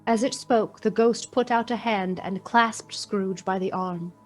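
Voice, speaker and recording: A woman playing the storyteller of a Victorian ghost tale, with measured, literary, expressive delivery in a radio play. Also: medium pitch, soft background music, natural voice. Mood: measured, wondering. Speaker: narrator.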